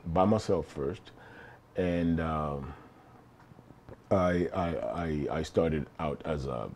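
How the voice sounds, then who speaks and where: A middle-aged man from Kenya speaks in a deep, mellow voice. He tells his story loosely and warmly, restarting phrases and chuckling along the way. Faint ambient noise sits beneath the conversation. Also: slow. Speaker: actor, interview guest. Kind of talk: casual interview chat.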